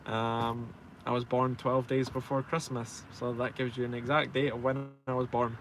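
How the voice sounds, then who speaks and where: A Scottish man in his twenties talks in an average-pitched voice over faint vehicle noise. He hosts a live stream in a casual, chatty way, answering viewers as they come in. His banter is informal and self-deprecating. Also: little pitch movement, normal speed. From Scotland. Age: 20s. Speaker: truck driver and live stream host.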